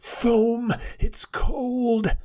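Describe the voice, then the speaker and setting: A man's voice: sits high, sing-song, measured pace, pitched above the performer's own voice, full character voice. A man voicing a terrified old abbot who chatters with cold and fear. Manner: teeth-chattering, frightened.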